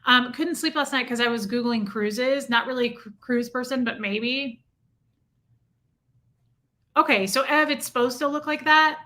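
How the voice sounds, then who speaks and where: Bright chatty woman reading live chat. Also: high voice, normal speed, pauses often. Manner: chatty, reacts to viewer comments. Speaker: livestream host reading chat. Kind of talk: casual livestream chat. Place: home room with a heater.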